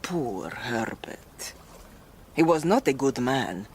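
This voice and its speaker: An actress playing a world-weary, brooding countess in a radio drama, resigned and grave in manner. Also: female voice, extremely low pitch, sing-song, slow, darkish tone, a little hiss or hum, heavily acted. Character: world-weary Italian countess confessing to a murder.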